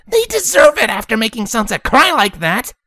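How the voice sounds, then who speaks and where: A man puts on a hot-headed teenage tomboy who barks her words, loud and pushy. His voice is pushed high into a girlish register, recorded dry and close. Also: sing-song, a little fast, dry recording, exaggerated voice. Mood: angry. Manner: barking, loud. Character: brash, hot-headed sporty teen girl.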